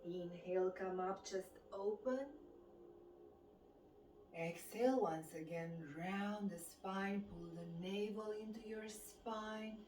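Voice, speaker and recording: A woman with a Romanian accent leads a guided exercise class as the instructor, giving measured movement and breathing cues. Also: medium pitch, a little mellow. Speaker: fitness and qigong instructor. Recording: distant mic, echoey room, background noise.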